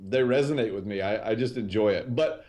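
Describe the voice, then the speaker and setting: A middle-aged man, a guest on a video call from a small office, chatting in a relaxed, modest way with easy laughs. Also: on the low side, brisk. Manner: relaxed, modest, laughs easily, hesitant with fillers. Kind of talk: casual interview chat.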